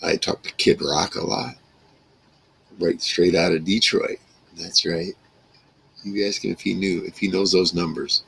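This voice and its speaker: A man speaks in an extremely low, creaky and husky voice. He rambles casually to camera, talking in halting, broken phrases like an informal vlog. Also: fairly even intonation, fairly bright tone, rough recording. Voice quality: gravelly, rough. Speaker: vlogger reminiscing about a radio hobby. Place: small wood-paneled room indoors.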